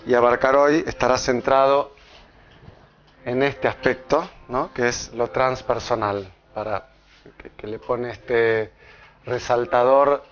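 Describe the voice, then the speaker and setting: Argentine man lecturing with halting restarts. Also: mid-range voice, muffled recording. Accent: Argentine Spanish. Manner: halting, with many restarts and checks of "¿no?". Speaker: speaker giving a talk. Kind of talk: live public lecture. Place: lecture room with an audience.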